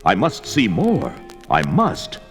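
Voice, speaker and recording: A low-voiced, dramatic barbarian warrior. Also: male voice, wildly swooping pitch, slow, soft background music, exaggerated voice. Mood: urgent, awed. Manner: theatrical, declamatory, talks aloud to himself. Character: fierce, brooding barbarian warrior man with a sword. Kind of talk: 1970s audio drama acting.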